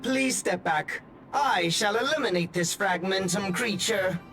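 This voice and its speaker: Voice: mid-range voice, unhurried, fairly bright tone, faint music behind. An actor voicing an impassive combat robot in a video game, formal, clipped and coldly procedural.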